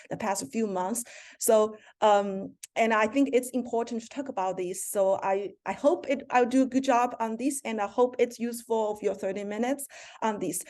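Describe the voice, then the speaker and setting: Woman speaker, somewhat high voice, halting delivery with restarts, heard over a video call. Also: a little mellow. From China. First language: Mandarin. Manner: halting, frequent restarts and self-corrections. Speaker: seminar speaker, professor. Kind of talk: virtual seminar talk.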